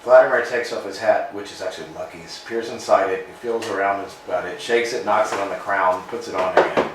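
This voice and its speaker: A man reading the stage directions aloud as narrator of a play reading, plain and unhurried. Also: lowish voice, background noise. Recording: roomy room, mic far off.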